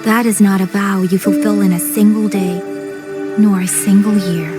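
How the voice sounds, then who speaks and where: An actress playing a composed, resolute young woman soldier in a video game, speaking in an earnest, deliberate manner. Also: medium pitch, fairly even intonation, natural voice. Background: background music.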